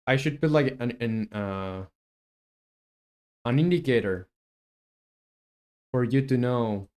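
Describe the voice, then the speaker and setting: A man's voice: medium pitch, animated, slow pace, a little mellow. A man who develops a game on a live stream, muses aloud while he codes and chats with viewers. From Chile.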